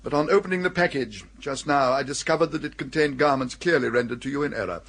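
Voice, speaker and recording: An actor playing an unflappable, quietly disapproving gentleman's valet in a radio comedy, with a formal British accent and deferential, measured manner. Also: mid-range voice. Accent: formal British English. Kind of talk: radio comedy drama acting.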